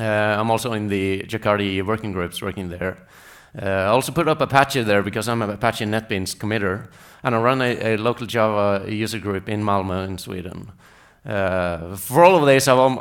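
Low-voiced man, hesitant. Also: fairly even intonation, brisk, few pauses, clear, resonant tone. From Norway. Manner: hesitant, many filler sounds. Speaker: conference speaker. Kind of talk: self-introduction at a tech talk. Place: large conference hall stage.

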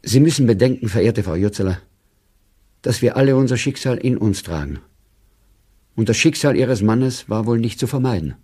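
Mellow, stiffly formal court official. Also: male voice, mid-range voice, lively intonation, moderate pace, slightly rough. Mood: formal sympathy. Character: formal, condescending court chairman dictating a condolence letter. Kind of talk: 1930s letter read in radio drama.

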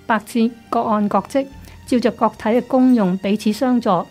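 A woman's voice: a little high, fairly even intonation, moderate pace, darkish tone. Woman with Hong Kong Cantonese accent over music. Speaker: radio programme guest. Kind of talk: conversational personal testimony. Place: small padded radio studio. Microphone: studio desk microphone.

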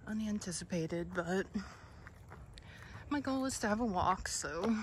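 Slow, musing woman on a wooded trail. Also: mid-range voice, animated. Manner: slow, musing, thinking aloud with soft whispered asides. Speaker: hiking vlogger. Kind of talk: casual hiking narration. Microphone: phone camera held by the narrator. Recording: faint outdoor noise.

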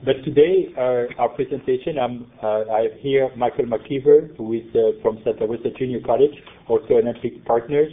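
A man from Belgium, a native French speaker, giving a conference presentation, talking haltingly with many fillers and restarts. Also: mid-range voice. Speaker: conference presenter, center principal investigator. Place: conference room. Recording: tinny phone-like conference recording.

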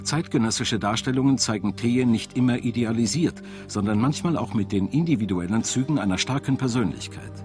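A man's voice: medium pitch, brisk, few pauses, darkish tone. A man reading scripted narration for a documentary as a studio voice-over. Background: music.

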